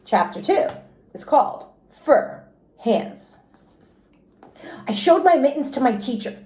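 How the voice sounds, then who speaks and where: High-pitched, animated woman reading aloud. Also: takes it slowly. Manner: expressive, childlike first-person delivery. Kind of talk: animated children's storybook read-aloud. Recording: roomy, echoey indoor sound.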